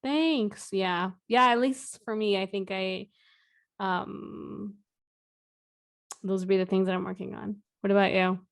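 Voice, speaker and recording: Quiet low-voiced young woman. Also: fairly even intonation, a little slow, vocal fry. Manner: quiet, reserved, chill. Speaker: podcast co-host. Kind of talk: casual chat.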